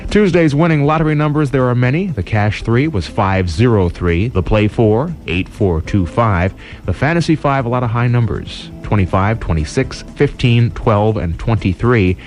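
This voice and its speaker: A man with an American accent working as a radio DJ, presenting smooth jazz and short information updates as morning host. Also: medium pitch, lively intonation, moderate pace, darkish tone, faint music behind.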